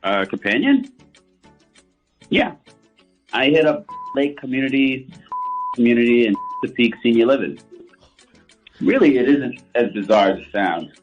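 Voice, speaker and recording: A man's voice: medium pitch, big pitch swings, a little slow, long pauses, faint music behind. A man chatting casually on a phone call in a matter-of-fact, unbothered manner, over a lo-fi line.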